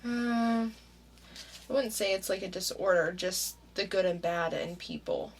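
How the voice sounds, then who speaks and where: A woman's voice: medium pitch, fairly even intonation, slow pace. A woman co-hosting a casual podcast who talks in an easygoing, musing way and often laughs. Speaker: podcast co-host.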